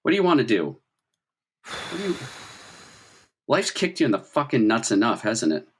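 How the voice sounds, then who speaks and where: A man's voice: medium pitch, sing-song, normal speed, a little hiss or hum. A man working as game master, narrating a tabletop role-playing game conversationally and prodding players with questions.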